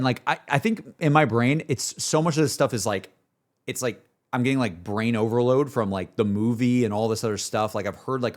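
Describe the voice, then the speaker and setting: A man giving a casual, rambling livestream reaction, peppering his talk with filler words like a laid-back chat among friends. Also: medium pitch. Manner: rambling, heavy use of "like" and "dude". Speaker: streamer reacting to game music.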